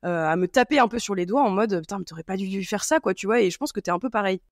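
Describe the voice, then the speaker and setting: A woman's voice: mid-range voice, quick pace, dry recording, clean studio sound. A woman hosting a podcast, chatting casually and rambling with many fillers and restarts. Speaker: podcast host. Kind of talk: casual chat.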